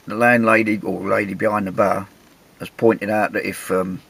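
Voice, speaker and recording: An English man, middle-aged, with a gravelly, husky voice, telling a travel story in a chatty, wry, self-mocking way. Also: on the low side, flat delivery, normal speed, a bit bright. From England. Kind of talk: casual anecdotal storytelling.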